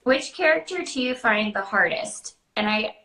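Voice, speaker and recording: Bright-voiced woman in an echoey room. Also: mid-range voice, animated, normal speed. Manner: informal, hesitant phrasing peppered with "like". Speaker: guest answering questions about character voices. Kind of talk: casual Q&A chat. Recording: roomy, echoey sound.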